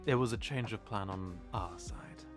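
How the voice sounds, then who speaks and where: A man playing a polite, diplomatic mafia twin in an audio roleplay, speaking formally and with measured courtesy. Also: fairly low pitch, music in the background, lightly acted. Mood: firm, diplomatic.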